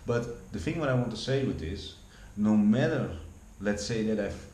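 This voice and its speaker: A man's voice: fairly low pitch, lively intonation, moderate pace, dark tone. A man in his forties with a Dutch accent, rambling through a casual vlog monologue with many restarts and repeated words. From Netherlands. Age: 40s. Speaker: vlogger. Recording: echoey room with faint background hum.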